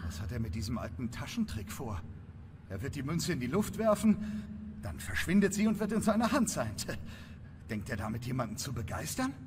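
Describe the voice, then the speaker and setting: A man's voice: medium pitch, very animated, moderate pace, background noise, lightly acted. A man playing a level-headed, pragmatic leader of fantasy adventurers, watchful and decisive. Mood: guarded, decisive.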